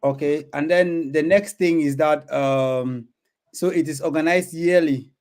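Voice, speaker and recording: A man's voice: on the high side, fairly even intonation, measured pace, darkish tone. A man hosting his own channel, hesitant and filler-heavy as he introduces an online interview. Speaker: channel host.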